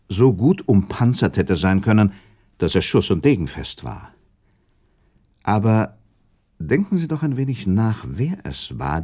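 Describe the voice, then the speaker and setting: A man's voice: low-pitched, warm tone, heavily acted. A man playing a noble, sceptical prince in a German radio play, arguing insistently and reasoning with rhetorical questions. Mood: probing, argumentative.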